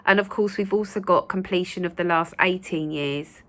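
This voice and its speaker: A woman hosting her own channel, speaking in a casual, gentle, reassuring way with a croaky voice from a recent cold and many fillers. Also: lowish voice, normal speed. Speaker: spiritual channel host. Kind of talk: casual spoken talk to viewers.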